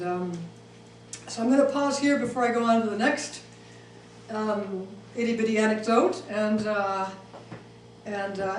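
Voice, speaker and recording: Hesitant woman in an echoey hall. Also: medium pitch. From Switzerland. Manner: hesitant, many ums and restarts. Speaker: speaker. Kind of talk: talk with audience Q&A. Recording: echoey room, mic far off, faint noise.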